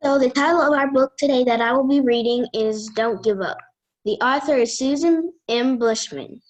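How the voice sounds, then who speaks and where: Higher-voiced woman reading aloud to children. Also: moderate pace, rough recording. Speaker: guest reader.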